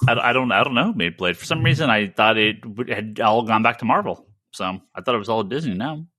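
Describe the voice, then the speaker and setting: A man hosting a podcast, chatting informally and rambling through shout-outs and plugs. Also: lowish voice, animated, brisk, no room sound, clean studio sound. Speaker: podcast host.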